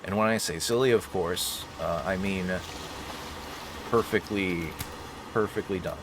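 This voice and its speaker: A man's voice: deep voice, measured pace, noisy background. A man hosting a game playthrough with casual, joking commentary and playful mock-heroic asides. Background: video game sounds.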